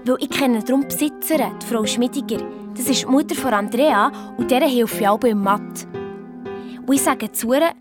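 A woman playing an anxious, excitable young girl detective with a Swiss German accent, telling her adventure in a children's radio play. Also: on the high side, moderate pace, close to an everyday voice. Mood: matter-of-fact. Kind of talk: first-person children's radio play narration. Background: music.

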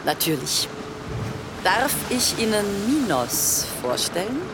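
A woman's voice: mid-range voice, very animated, slow, very noisy. A woman playing a courteous, deferential yacht captain in an audio drama.

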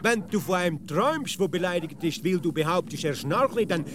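Huffy swiss german village simpleton, slightly high voice, pedantic nagging questions, radio play, clear noise behind. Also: male voice, animated, fairly quick. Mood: pedantic, challenging. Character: pedantic, grumpy male village philosopher, huffy, nitpicking simpleton. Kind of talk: comic radio play dialogue.

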